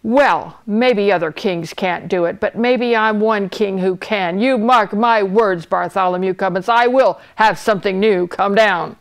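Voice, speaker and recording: A woman's voice: on the high side, fairly even intonation, measured pace, hardly any pauses, clear, resonant tone. A woman voicing an irate, bossy old king in a storybook, blustering and imperious. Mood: imperious rage.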